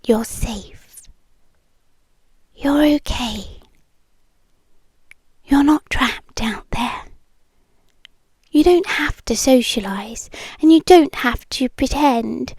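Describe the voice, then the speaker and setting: Breathy British woman acting a possessive yandere girlfriend. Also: a little high, no echo, clean studio sound, heavily acted. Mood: tender, soothing. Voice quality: breathy, often whispering. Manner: slow, soft, intimate and coaxing. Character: possessive, doting yandere girlfriend soothing her partner. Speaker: ASMR roleplay performer. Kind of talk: intimate ASMR roleplay. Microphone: close microphone, dry.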